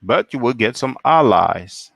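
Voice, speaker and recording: A man's voice: mid-range voice, animated, a little slow, gravelly, fairly bright tone, no echo. A man from France hosting a solo live stream, reading viewer comments and asking pointed rhetorical questions.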